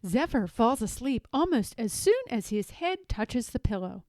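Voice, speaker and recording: A woman reads a children's storybook aloud in a fairly high voice with very lively swings of pitch. She is theatrical and expressive, recorded close and dry. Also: unhurried, no echo. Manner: animated, theatrical. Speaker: storybook reader.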